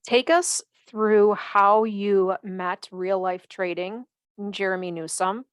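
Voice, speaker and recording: A woman's voice: mid-range voice, steady tone, slow, clean tone, no echo, studio quality. A woman in her fifties hosting a podcast, reflecting on life and putting questions to her guest. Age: 50s. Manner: reflective, builds up to emphatic questions. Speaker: podcast host. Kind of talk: interviewing a guest.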